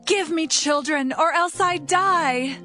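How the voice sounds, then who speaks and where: Woman cast as envious young biblical wife, slow and pleading, music clearly behind. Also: extremely high pitch, sharp tone, heavily acted. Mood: imploring. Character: Rachel, envious young wife desperate for children. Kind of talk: dramatized scripture reading. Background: background music.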